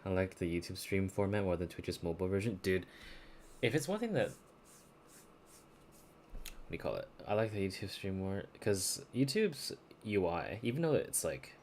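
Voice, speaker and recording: A man's voice: low voice, big pitch swings, normal speed, long pauses, a little mellow, a little hiss or hum. A man streaming a video game and chatting casually in slangy, informal language. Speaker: live streamer. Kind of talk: casual gaming stream chat.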